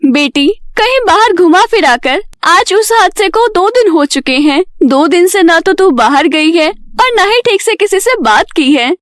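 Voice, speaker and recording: A concerned, caring parent, put on by a woman storyteller, coaxing and questioning in a very high, lively voice. The sound is dry, like an audio storybook. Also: measured pace, few pauses, dry recording. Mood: coaxing worry. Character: worried, caring middle-aged parents of a daughter.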